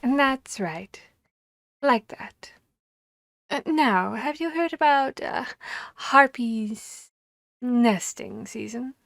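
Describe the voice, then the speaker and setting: A woman voicing a harpy seeking a human mate, commanding yet courteous and bashful, speaking formally and deliberately with hesitant pauses in an ASMR roleplay. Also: fairly high pitch, vocal fry, fairly bright tone, no room sound, studio quality, full character voice. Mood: hesitant, explaining.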